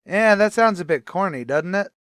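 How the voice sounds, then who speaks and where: A brash, fast-mouthed tavern manager, played by a man in a radio comedy, with a high, bright voice for a man. He talks in cocky, ungrammatical tough-guy patter. The sound is dry and close, with no room around it. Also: expressive, no echo. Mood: bossy. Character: wisecracking middle-aged male tavern manager. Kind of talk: old-time radio comedy recreation.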